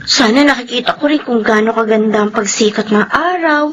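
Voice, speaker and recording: A woman acting a sweet, wistful younger sister in a radio drama, girlish and gentle, with a Filipino accent. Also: a little high, fairly quick.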